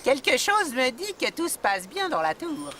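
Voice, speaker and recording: A woman's voice: high-pitched, wildly swooping pitch, moderate pace, pushed and bright, slight background noise, heavily acted. A woman voicing a cheeky, carefree little adventurer creature in a children's cartoon, with a breezy, joking manner. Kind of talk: dubbed children's cartoon acting. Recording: music behind the voice.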